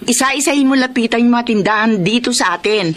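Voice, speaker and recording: Actress as bossy big sister, very high voice, impatient, scolding, radio drama. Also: female voice, fairly quick, a bit bright, slight background noise, natural voice. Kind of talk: Tagalog radio drama acting.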